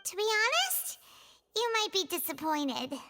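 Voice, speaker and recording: A woman voicing a tiny, chirpy, childlike female companion creature in a video game, with a squeaky voice. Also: big pitch swings, unhurried, noisy background, heavily acted. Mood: sheepish. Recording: long hall reverb, music underneath.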